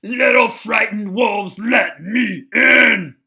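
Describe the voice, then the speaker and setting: A storybook reader voicing a big bad pig, a menacing bully who booms out slow, drawn-out threats. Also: gravelly, pushed and bright, heavily acted. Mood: demanding.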